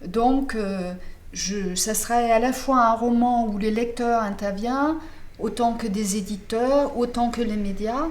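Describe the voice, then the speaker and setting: A woman's voice: a little high, measured pace, darkish tone. A woman novelist answering interview questions in French, which is not her first language, thoughtful and often restarting as she searches for words.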